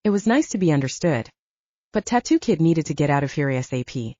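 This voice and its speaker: A woman reading a first-person story as an audiobook narrator in a scripted, expressive storytelling style. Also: low-pitched, fairly quick, no room sound, highs cut off.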